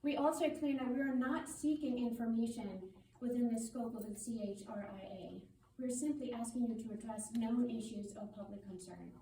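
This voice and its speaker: A woman with a fairly high voice reads out a prepared public statement. She is formal, firm and deliberate. The sound is echoey and a little far from the mic, as in a meeting room. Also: dark tone. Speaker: community spokesperson. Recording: roomy meeting hall, distant mic, faint noise.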